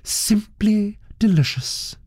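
A woman's voice: medium pitch, lively intonation, slow, dark tone, slightly rough, no echo, studio quality, pitched above the performer's own voice. A man voicing a gushing, languid actress. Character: theatrical absent-minded actress fond of food.

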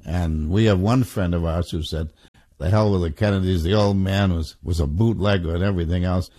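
A man's voice: low voice, fairly even intonation, dark tone. An older man with a strong Boston accent, telling a story in an interview. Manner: storytelling, quick in quoted lines.

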